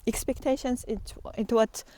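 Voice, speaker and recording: A woman giving an unscripted, reflective spiritual monologue, thinking aloud with pauses and earnestly repeating key phrases. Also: on the high side, steady tone, dark tone, no echo. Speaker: spiritual teacher and artist.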